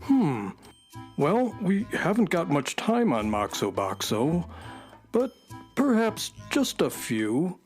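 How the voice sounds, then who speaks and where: Give the part to a stuffy, earnest male collector from a children's radio play. His speech is formal and pedantic, with animated swings in pitch over clear background music. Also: takes it slowly, exaggerated voice. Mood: earnest, appreciative. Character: Briscoe, earnest stuffy male collector, fond of dull things. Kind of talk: children's radio drama acting.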